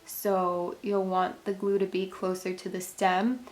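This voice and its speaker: A woman's voice: medium pitch, little pitch movement, measured pace, a little mellow. A woman hosting a craft tutorial, giving chatty step-by-step instructions, her voice congested and a little worn. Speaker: craft channel host.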